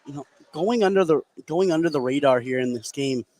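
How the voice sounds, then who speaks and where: A man's voice: on the high side, brisk, a little mellow. A man giving conversational, explanatory analysis as color commentator on a live basketball radio broadcast. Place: indoor basketball gymnasium.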